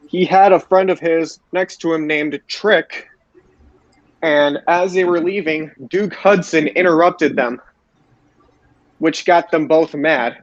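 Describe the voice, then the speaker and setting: A man's voice: fairly high pitch, dry recording, lo-fi sound. A man hosting a podcast, recapping wrestling shows for his co-hosts. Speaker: podcast host.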